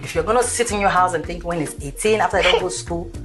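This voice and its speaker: Nigerian mom telling her story, slightly low voice, earnest, slips into pidgin. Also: fast, over loud music. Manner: earnest, mixes pidgin into English. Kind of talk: emotional personal account.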